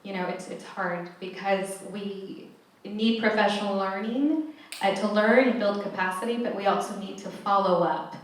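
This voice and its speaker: A woman's voice: mid-range voice, normal speed. A woman whose first language is Spanish, speaking earnestly on a panel with many restarts. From California, USA. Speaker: panelist, math educator. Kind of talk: panel discussion. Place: large echoey event hall. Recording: echoey hall, mic far off.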